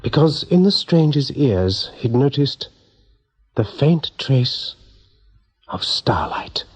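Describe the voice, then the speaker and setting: A man giving expressive, measured narration for a radio drama, with a husky voice. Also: mid-range voice. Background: soft music.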